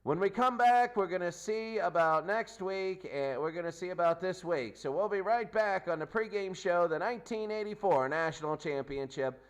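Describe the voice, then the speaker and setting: A man with a slightly high-pitched voice hosts a football pregame show. He talks off the cuff, repeating phrases for emphasis as he previews the action. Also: moderate pace, hardly any pauses, clean tone. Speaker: sports show host. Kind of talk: unscripted football pregame commentary.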